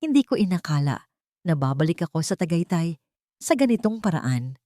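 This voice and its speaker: A woman's voice: lowish voice, sing-song, normal speed, dark tone, dry recording, studio quality. A woman voicing a resilient, hopeful woman survivor in an audiobook, speaking in a heartfelt, earnest way. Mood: hopeful, resolute. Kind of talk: audiobook character dialogue.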